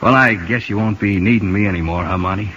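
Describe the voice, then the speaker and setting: An actor playing a laconic, humble country cousin in a radio drama, terse and plain-spoken. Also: male voice, fairly low pitch, fast, a bit bright, lightly acted. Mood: modest, wistful. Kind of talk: 1950s radio drama acting. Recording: muffled old radio, faint noise.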